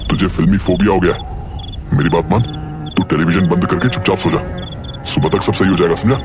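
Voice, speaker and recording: A man's voice: deep voice, fairly even intonation, brisk, lightly acted. A man voicing a bossy cartoon best friend. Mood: firm. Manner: firm, reassuring, scolding. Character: bossy, protective young male best friend. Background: background music.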